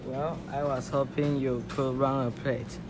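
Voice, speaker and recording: A man's voice: mid-range voice, level tone, unhurried, natural voice. A man playing an earnest, polite but impatient young rabbit police officer in an amateur radio play. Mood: polite, pressed. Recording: noisy amateur recording.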